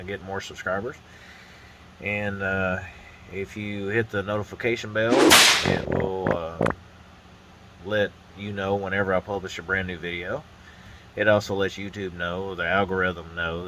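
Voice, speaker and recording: Casual hobby vlogger, low-voiced man, congested and a bit nasal, meandering delivery recorded on a phone. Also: slight background noise. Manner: rambling, relaxed, frequent uh and restarts. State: stuffy nose from a sinus infection. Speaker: model-building vlogger. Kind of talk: casual hands-on build commentary. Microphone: phone on a holder arm.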